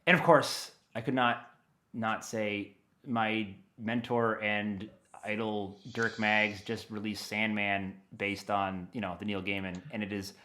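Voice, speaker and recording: An American man talking casually and enthusiastically as an interview guest, animated and given to rambling asides. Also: on the low side, unhurried. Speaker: interview guest, audio dramatist.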